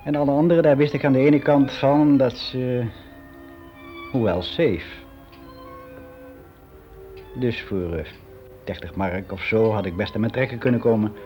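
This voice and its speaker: A dull, lo-fi radio play recording of a man acting a lonely male office clerk of sixty. He talks candidly and haltingly in a mid-pitched voice, correcting himself as he confides his timid regrets. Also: faint music behind, close to an everyday voice. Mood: hesitant, rueful. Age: 60s. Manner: halting, confiding, colloquial, with hesitant self-corrections. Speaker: actor performing a radio monologue. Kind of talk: conversational radio drama monologue.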